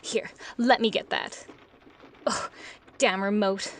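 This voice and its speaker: A woman playing a chatty, fussing wife and mother in a radio play, breezy and expressive. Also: medium pitch, slow. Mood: fretting, annoyed.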